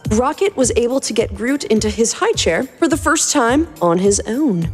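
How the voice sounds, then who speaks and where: A woman's voice: fairly high pitch, sing-song, moderate pace, faint music behind. A woman reading scripted story narration expressively as a podcast host.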